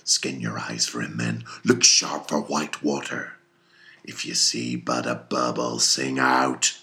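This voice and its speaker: A fierce old captain of a whaling ship. The voice is very low and hard-edged, declaiming in slow, obsessive bursts like orders across a deck in a sea story. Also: male voice, flat delivery, creaky, heavily acted. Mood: commanding. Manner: declamatory, slow emphatic shouting.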